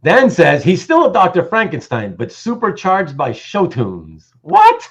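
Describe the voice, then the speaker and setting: A man's voice: sits high, wildly swooping pitch, measured pace, breathy edge, muffled recording. A man hosting a casual livestream about comic art, reading out chat comments and rambling with repeated words and self-interruptions.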